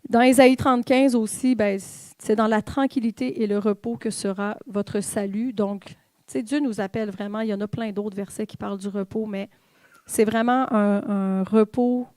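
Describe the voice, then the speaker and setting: A woman's voice: mid-range voice, steady tone, moderate pace, darkish tone, dry recording. A woman with a Québécois French accent giving an informal, conversational sermon in church, with fillers and the odd whispered aside. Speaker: church speaker.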